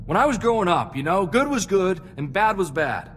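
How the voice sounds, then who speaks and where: A man's voice: high-pitched, big pitch swings, moderate pace, a bit bright, a little hiss or hum. A man playing an earnest, loyal young sidekick in a space-adventure game, talking in a plainspoken, folksy, reflective way. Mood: troubled, reflective. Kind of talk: video game cutscene dialogue.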